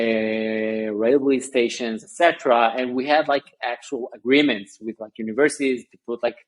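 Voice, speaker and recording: A man, a guest chatting on a video call in a halting way with many fillers and restarts. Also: mid-range voice, low-quality recording. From Israel. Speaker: guest founder. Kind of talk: casual interview chat.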